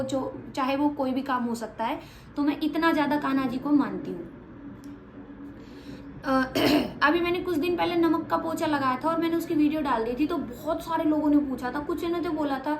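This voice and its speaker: High-voiced frank woman vlogger. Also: echoey room, slight background noise. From Haryana, India. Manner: frank, matter-of-fact, a little blunt. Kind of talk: casual Q&A vlog.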